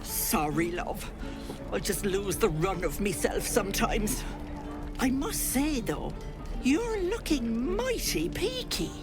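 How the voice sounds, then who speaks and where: A woman's voice: a little high, animated, slow. An actress voicing a doting, sing-song old woman, a hag in disguise in a video game. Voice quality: fussing, sing-song, grandmotherly. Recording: game audio with music behind.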